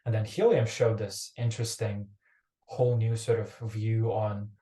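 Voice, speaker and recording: A young man speaks as a founder on a podcast. His voice is low-set and flat, and the call audio is roomy and echoey. He rambles, doubling back over his words as he goes. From Ukraine. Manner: rambling, restarts phrases, quick asides. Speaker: startup founder guest. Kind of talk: casual podcast interview. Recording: roomy echoey remote recording.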